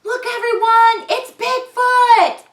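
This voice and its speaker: A woman voicing an excitable, insistent little storybook boy who shouts and stretches out his words. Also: very high voice, slow pace, echoey room, distant mic, pitched above the performer's own voice, full character voice. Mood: excited, shouting.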